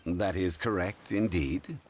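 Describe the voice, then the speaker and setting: A man voicing a composed, erudite gentleman scholar in an anime game, speaking in a formal, measured, courteous way. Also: low-pitched, slight background noise. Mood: calm, assured.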